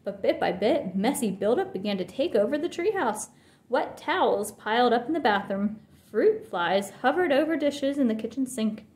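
A woman's voice: fairly high pitch, expressive, normal speed, darkish tone, reverberant. A woman reading a children's picture book aloud with expression. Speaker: storybook reader.

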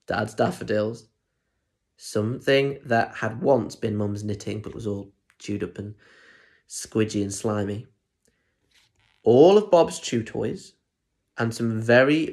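A British man in his twenties reading a children's story in a playful way, with chatty asides and questions for young listeners. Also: lowish voice. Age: 20s. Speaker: primary school story reader. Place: plain room at home. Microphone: phone or tablet at arm's length.

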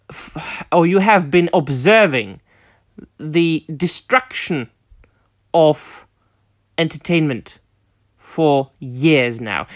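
A young man from the Republic, hosting his channel with a formal, deliberate opinion monologue full of fillers and restarts. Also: fairly high pitch, a bit bright, dry recording, studio quality. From Czech Republic. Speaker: channel host.

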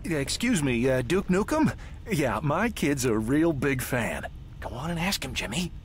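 An ordinary father voiced by a man in a game scene. He talks casually and hesitantly in a lively mid-range voice, with faint noise around him. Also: medium pitch, moderate pace, lightly acted. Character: hesitant, unimpressed ordinary dad. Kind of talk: video game voice acting.

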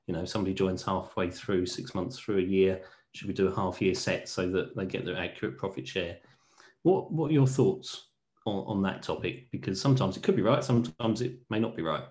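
A middle-aged man, an accountant, hosting a professional discussion over a video call, measured and asking colleagues for their views. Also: low-pitched, darkish tone. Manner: measured, asks colleagues questions. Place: home room with teal wall. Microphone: earphone headset on video call.

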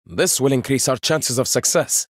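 An actor voicing a proud, witty young male inventor-warrior in a video game, speaking in measured, confident lines with dry wit. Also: mid-range voice, slightly rough, no room sound, lightly acted. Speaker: game character.

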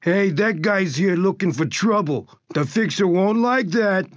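A man playing a pugnacious, slow-witted gangster thug with blustering tough-guy talk in a comic-book audio drama. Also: high voice, lively intonation, no echo, highs cut off. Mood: startled.

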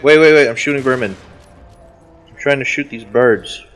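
A man chatting and exclaiming excitedly while playing a co-op game with friends. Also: mid-range voice, normal speed, soft background music. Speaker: channel host. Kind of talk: casual co-op gaming chat.

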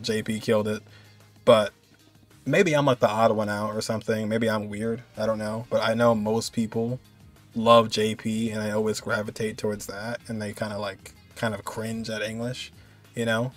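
A man's voice: lowish voice, flat delivery, moderate pace, faint music behind. A man giving casual, rambling, enthusiastic reaction commentary as a video host. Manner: rambling, enthusiastic, repeats himself.

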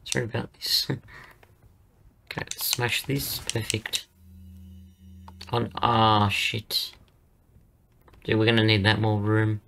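A hoarse young man with a partly lost voice, chatting casually as he plays through a game and says "dude" a lot. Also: fairly low pitch, little pitch movement, slow pace, pauses often, sharp tone, a little hiss or hum. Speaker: gaming channel host. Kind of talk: casual let's-play commentary.

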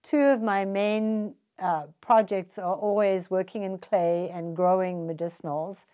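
An older woman, an artist and herbalist, calmly explaining her work in an interview inside her studio. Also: medium pitch, a little slow, highs cut off. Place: indoors in a cluttered art studio.

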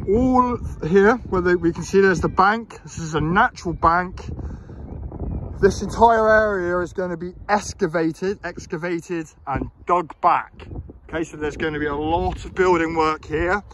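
Man giving a walking park tour. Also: fairly high pitch. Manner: explanatory, critical, hesitant with fillers. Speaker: local election candidate. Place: outdoor city park. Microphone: phone camera held while walking. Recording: phone recording outdoors with faint background noise.

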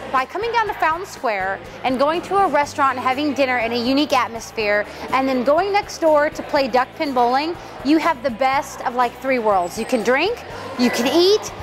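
A woman's voice: high voice, rough recording. A woman from Indianapolis telling a story in a casual interview, chatty and enthusiastic. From Indianapolis, United States. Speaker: featured guest.

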